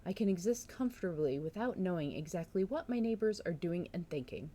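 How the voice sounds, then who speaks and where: Woman reading as cool, dry male village doctor, low-pitched. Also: expressive, brisk, warm tone. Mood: coldly exasperated. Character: reserved, coldly sceptical male village doctor. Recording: noticeable background noise.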